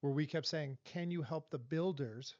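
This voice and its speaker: A man from Los Angeles in his forties, talking as a guest in a casual interview, emphatic and animated. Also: a little high, moderate pace, dry recording. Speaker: guest, vendor advocate. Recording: dull dry podcast audio.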